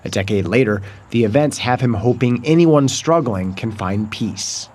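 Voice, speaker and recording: A man reading a scripted news report voice-over in a measured, even way. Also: medium pitch.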